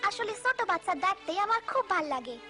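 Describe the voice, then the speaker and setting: A woman voicing a bright, curious little village girl in a cartoon, speaking with a Bangladeshi accent in a lively, inquisitive way. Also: extremely high pitch, fast talker, music in the background. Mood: cheerful, curious. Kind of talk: cartoon voice acting.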